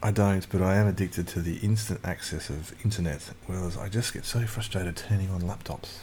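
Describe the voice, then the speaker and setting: A man's voice: low-pitched, fairly even intonation, fairly quick, darkish tone, a little hiss or hum. A man co-hosting a radio show, chatting casually and dropping dry, wry quips. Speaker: radio co-host. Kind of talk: casual banter.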